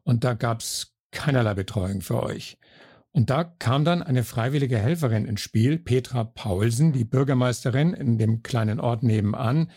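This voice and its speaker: An older man from northern Germany hosting an interview podcast, with a measured, friendly way of asking questions. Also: mid-range voice, darkish tone, slightly rough, no room sound, studio quality. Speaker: podcast host. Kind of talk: scripted intro then interview.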